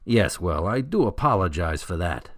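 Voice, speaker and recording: An actor playing a gruff, weary middle-aged veteran policeman in a radio drama. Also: deep voice, big pitch swings, normal speed. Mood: dry.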